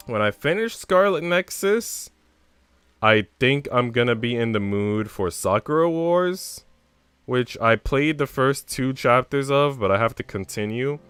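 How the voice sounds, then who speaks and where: A man with a low, smooth, resonant voice chats casually on a livestream. He rambles informally and swears freely. The sound is close and dry, with music playing behind him. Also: fairly bright tone, no room sound. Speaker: live streamer.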